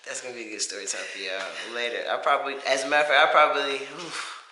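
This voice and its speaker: Man, casual vlog update, very bright forceful voice, informal and rambling, roomy echo, faint noise. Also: mid-range voice, fairly even intonation, fast talker. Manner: informal, rambling, self-reflective. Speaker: vlogger. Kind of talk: casual personal update to camera. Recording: echoey room with faint hiss.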